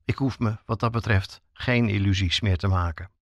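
A man's voice: lowish voice, normal speed, no room sound. Reflective man narrating a documentary. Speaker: reporter, presenter.

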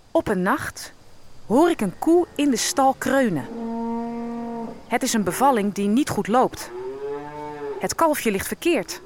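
A medium-pitched female voice plays an earnest freed captive woman telling her own story in a radio play. The narration is dramatic and reflective, with noticeable cattle and farm ambience behind it. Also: a little hiss or hum. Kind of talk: dramatic first-person narration.

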